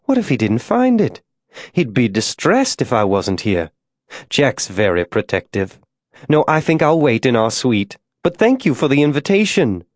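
A man's voice: mid-range voice, wildly swooping pitch, normal speed, no echo, studio quality. A man narrating an audiobook, voicing a proud, genteel frontier wife who is stubbornly waiting, in a polite, wistful, chatty manner. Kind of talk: audiobook character dialogue.

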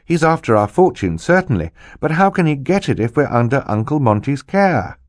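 A man's voice: mid-range voice, sing-song, normal speed, dry recording, clean studio sound, voice pushed up, natural voice. A man voicing an earnest, worried orphan child. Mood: worried, puzzling. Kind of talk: audiobook character voice.

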